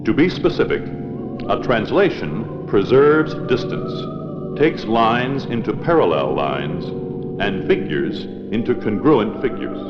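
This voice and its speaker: A man narrating an educational film from a script in a measured, deliberate, precise manner. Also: fairly low pitch, sing-song. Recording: dull old 16mm film soundtrack, long reverb, loud music.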